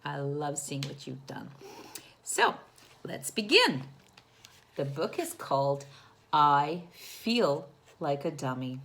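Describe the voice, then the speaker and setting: A woman's voice: sits low, sing-song, slow, gravelly, pauses often, fairly bright tone, slight background noise. A woman teacher doing a storytime read-aloud, talking encouragingly and directly to young children and asking them questions.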